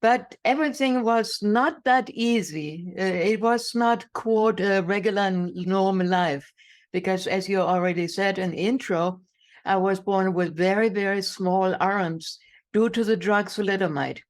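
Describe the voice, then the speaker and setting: A woman's voice: medium pitch, unhurried. Woman, sixties, German accent, inspirational speaker, emphatic personal storytelling, repeats words for effect. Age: 60s.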